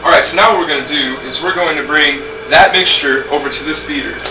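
A young man with a slightly high voice runs a homemade cooking show from his kitchen, cracking offhand jokes while he mixes and kneads. The sound comes from a camera mic a few feet away and carries a clear hiss of background noise. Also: brisk. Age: young adult. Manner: jokey, offhand, talks while working. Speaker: home cooking show host. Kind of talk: casual cooking demonstration. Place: home kitchen, indoors. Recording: noisy home-video camera audio.

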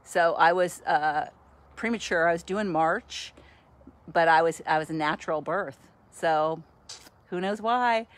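A woman's voice: on the low side, a little slow. A woman in her sixties, a podcast host, chatting casually and cheerfully into a phone at arm's length on a sunny outdoor patio, laughing often. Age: 60s. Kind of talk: casual selfie video chat.

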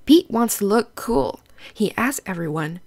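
Slow, lively woman reading to children. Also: medium pitch. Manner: simple, instructive phrasing aimed at young children. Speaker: children's read-aloud host and narrator. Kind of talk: children's storybook read-aloud with questions to the listener.

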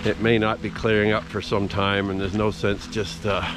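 A man's voice: fairly low pitch, little pitch movement, normal speed, music in the background. An older man narrating a walk outdoors in a casual, understated, musing way into a handheld camera. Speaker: vlogger. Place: outdoors on a rural trail in rain. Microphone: handheld camera at arm's length.

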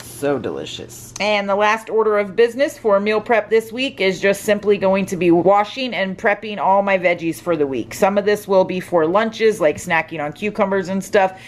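A woman's voice: mid-range voice, moderate pace, hardly any pauses, a little hiss or hum. A middle-aged woman narrating her home cooking in a chatty, upbeat way, explaining each step as she goes. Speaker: cooking vlogger. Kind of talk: casual voice-over cooking narration. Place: home kitchen.

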